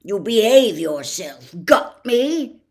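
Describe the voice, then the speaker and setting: A woman voicing a gruff, bullying middle-aged uncle in a storybook, growling and snarling with menace. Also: a little high, sing-song, slow pace, a little mellow, full character voice. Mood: threatening.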